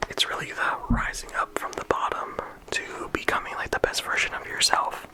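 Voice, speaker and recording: A person whispering in ASMR style, talking through a discussion in a casual, halting way with lots of filler words. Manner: casual, halting phrasing with frequent "like" and "you know". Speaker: video creator and host.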